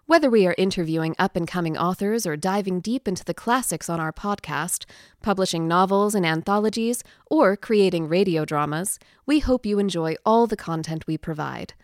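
A woman's voice: fairly low pitch, normal speed, dry recording. Woman presenter with a dry, close sound. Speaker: publishing house presenter. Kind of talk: scripted promotional announcement.

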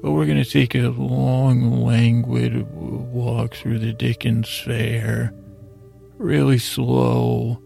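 A man hosting a bedtime podcast with a gravelly, husky voice, rambling and halting in a soothing, unhurried, self-deprecating way. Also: medium pitch, little pitch movement, warm tone, soft background music. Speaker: podcast host. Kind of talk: meandering bedtime podcast monologue.

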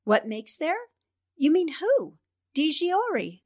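High-voiced, self-assured lady reporter played by a woman. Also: very animated, takes it slowly, a little mellow, heavily acted. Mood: delighted. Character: brisk, self-assured female newspaper reporter. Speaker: actor. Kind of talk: 1940s radio drama acting.

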